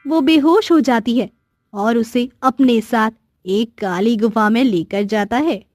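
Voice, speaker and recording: A woman's voice: a little high, takes it slowly, darkish tone, dry recording, clean studio sound. A woman reading scripted narration for a cartoon story as its narrator.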